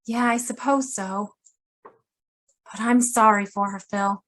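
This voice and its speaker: A woman playing a shrewd, wry murderess with a secret past in a noir radio drama, speaking in a measured, knowing way. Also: mid-range voice, fairly even intonation, a bit bright. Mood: sorrowful, concerned.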